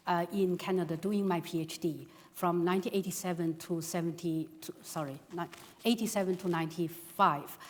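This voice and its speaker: A middle-aged woman from China, a native Chinese speaker, recounting her own background as a panellist. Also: on the low side.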